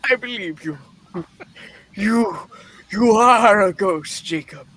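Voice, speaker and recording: Old miser for radio play, male reader: grumpy, spooked, blustering, very slow and drawn-out. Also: high voice, a bit bright, exaggerated voice. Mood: nervous. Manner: stammering, blustering, exclaiming. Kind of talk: live play reading, hammy radio-play acting.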